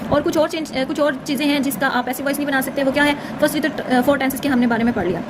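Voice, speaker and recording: A woman with a very high voice teaches at a quick pace, explaining step by step in an informal tutorial manner. She keeps adding short check-in tags, and clear background noise runs behind her. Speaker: English grammar teacher.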